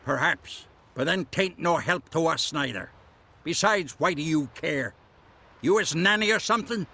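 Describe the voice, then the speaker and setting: An actor playing a thuggish, sneering bandit with a raspy voice in a fantasy video game. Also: male voice, a little high, lively intonation, measured pace, bright, forceful tone, full character voice. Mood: mocking. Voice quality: raspy, creaky. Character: sneering, thuggish male toll-taking bandit. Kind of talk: video game dialogue acting.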